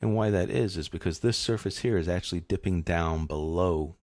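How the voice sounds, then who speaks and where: A man narrating a step-by-step software tutorial as an instructor, explaining in an informal way. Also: low voice, normal speed, no echo, clean studio sound.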